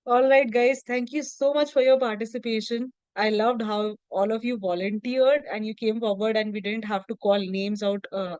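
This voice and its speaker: A woman hosting a video call, warmly praising answers and moving the session along. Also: fairly high pitch, fairly even intonation, moderate pace, hardly any pauses, smooth, no room sound, muffled recording. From India. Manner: encouraging, gives praise, loose fillers. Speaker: session host. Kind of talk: casual moderating on a video call.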